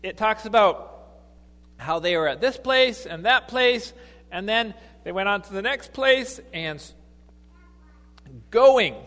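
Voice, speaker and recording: A man, a lay missionary, preaching a sermon in a church, halting with fillers and restarts. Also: sits high, animated, a bit bright, long reverb tail. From grew up partly in Ecuador, then US.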